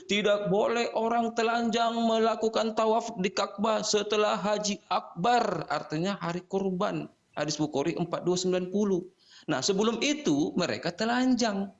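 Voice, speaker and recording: A man's voice: high-pitched, lo-fi sound. A man in a heated online religious debate, pressing his points and citing hadith numbers one after another.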